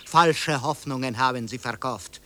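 A man's voice: medium pitch, measured pace, bright, forceful tone. An actor in a German radio drama playing a bitter, despairing man who pleads and accuses in an agitated, theatrical way. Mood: angry despair.

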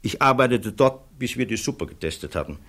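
An actor playing a thoughtful physicist giving measured, earnest testimony in a German radio play. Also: male voice, medium pitch, expressive, a bit bright. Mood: grave, troubled. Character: thoughtful male physicist witness, earnest, troubled by conscience.

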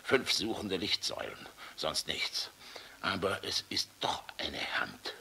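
An actor playing a defiant, bitter German general in a radio play, gruff, blunt and sardonic in manner. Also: male voice, low-pitched, big pitch swings, takes it slowly, pushed and bright, heavily acted. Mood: bitter, haunted.

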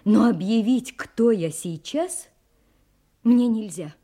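A woman's voice: on the high side, lively intonation, slow pace, mellow. An actress playing a guarded, dignified young female suspect in a radio play, speaking with reserve and resolve. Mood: guarded, resolute. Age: young adult.

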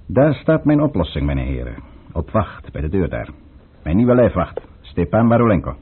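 An actor playing a cold, scheming Russian count in a Dutch radio play, theatrical and insinuating. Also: male voice, sits low, big pitch swings, normal speed, noisy background. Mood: cold, plotting. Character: scheming Russian count, commanding, cold, silky courtly conspirator. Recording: muffled, band-limited old radio recording.

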